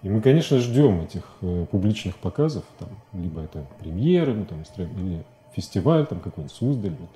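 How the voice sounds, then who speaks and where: Low, very mellow-voiced man, halting delivery. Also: sing-song. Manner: halting, thinking aloud, colloquial. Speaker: interview guest, animation filmmaker. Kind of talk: casual studio interview talk. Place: TV studio, indoors.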